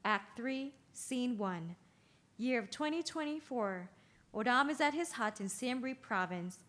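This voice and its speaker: A woman's voice: fairly high pitch, animated, a little slow, fairly bright tone. A woman reading stage directions as narrator at a play's table read, in scripted narration.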